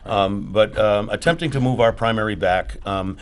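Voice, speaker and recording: A man's voice: lowish voice, moderate pace, clear, resonant tone. A man who grew up in New Hampshire, co-hosting a talk show in an eager way, often repeating words as he starts. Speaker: co-host.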